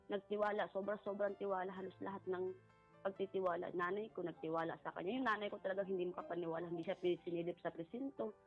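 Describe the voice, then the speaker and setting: A middle-aged woman, giving a personal account in a polite, halting way and often repeating herself. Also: medium pitch, fairly even intonation. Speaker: caller seeking advice. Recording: muffled lo-fi voice over background music.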